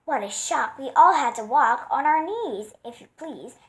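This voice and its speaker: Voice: high voice, animated, normal speed. A woman voicing a swarm of worried bees that complain in a fretful way.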